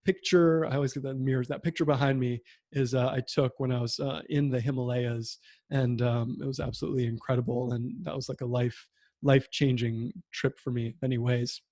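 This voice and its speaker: A man in his thirties speaks in a mellow, relaxed tone, recounting his path in an unhurried, reflective way full of small pauses and fillers. The sound is dry and close, like a home video call. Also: medium pitch, no echo. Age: 30s. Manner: reflective, storytelling with many fillers. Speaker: podcast host. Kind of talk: conversational interview. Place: home room with bookshelf.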